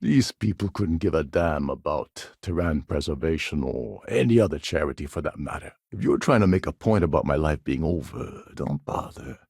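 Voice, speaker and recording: A weary older pirate captain for a sci-fi video game. His voice sits low and husky, with a dry, close studio sound. He talks in a sardonic, conspiratorial way, like a schemer who has seen it all. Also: animated, moderate pace, hardly any pauses, darkish tone, dry recording, heavily acted. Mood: wry, guarded. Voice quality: gravelly, husky. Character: weary, wry older space pirate posing as ship captain. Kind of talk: video game character dialogue.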